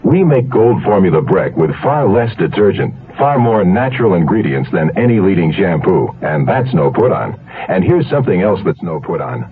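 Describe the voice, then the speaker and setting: A man reading a scripted advertising pitch as a commercial announcer on the radio. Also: very animated, moderate pace, slight background noise. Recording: muffled old radio broadcast.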